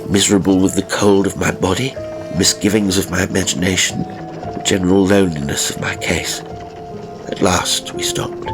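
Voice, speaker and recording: A man narrating a literary ghost story in the first person, with measured, dramatic phrasing and deliberate pauses. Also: sits low, level tone. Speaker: audiobook narrator. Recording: music behind the voice. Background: music.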